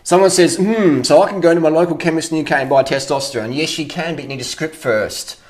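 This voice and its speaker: A man's voice: fairly high pitch, fast. A man in a casual, unscripted rant as an online commentator, blunt, opinionated and freely swearing, often repeating phrases for emphasis. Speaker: online fitness commentator answering viewer comments.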